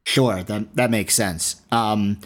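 A man hosting a casual interview, asking questions in a hesitant, halting, attentive way. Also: lowish voice, steady tone. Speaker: podcast host, interviewer.

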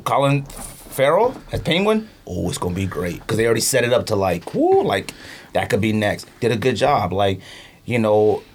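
A man's voice: on the low side, wildly swooping pitch, normal speed, husky. A man chatting casually as a podcast host, emphatic and opinionated, with slangy phrasing and repeats for emphasis. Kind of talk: casual podcast banter about movies.

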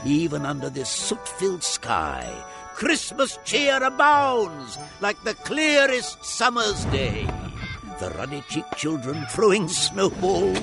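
A jolly giant spirit in a radio play, grand and commanding. His delivery is slow and declamatory, swinging widely in pitch, with music behind him. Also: huge pitch swings, gravelly, heavily acted. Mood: grand, exuberant. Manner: hearty, declamatory. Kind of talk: radio drama acting.

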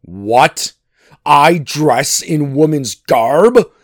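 A man voicing a mighty, proud thunder god from a myth, rough and blustering in manner. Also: on the low side, lively intonation, takes it slowly, dry recording, studio quality. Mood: indignant. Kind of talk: dramatic myth storytelling.

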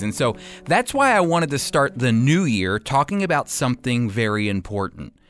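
A man's voice: mid-range voice, a bit bright, no room sound, clean studio sound. A man with an American accent hosting a radio program, giving scripted Bible teaching and commentary in an earnest, emphatic way.

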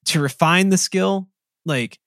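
A man's voice: sits high, slow pace, no echo, studio quality. A man co-hosting a casual podcast conversation, talking in a loose, rambling way with lots of "like" fillers. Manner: relaxed, rambling with frequent "like" fillers. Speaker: podcast co-host.

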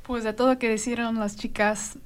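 A woman's voice: on the high side, flat delivery, moderate pace. A young woman first-year student from Indiana giving brief answers in a group interview. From Indiana, USA. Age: young adult. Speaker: university student.

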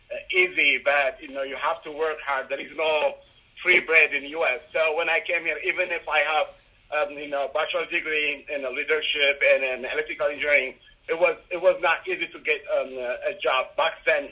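Middle-aged Ethiopian-accented male guest; bright, raised voice; hesitant interview delivery. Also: a little high, fairly even intonation, rough recording. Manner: halting, many fillers and restarts. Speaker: guest, rideshare driver. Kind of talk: interview answers.

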